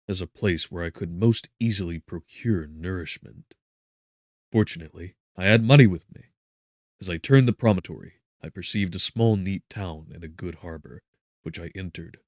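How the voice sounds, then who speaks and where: A man's voice: sits low, no room sound, clean studio sound. A man reading a gothic novel as an audiobook narrator, in a solemn, theatrical manner with swelling and falling volume.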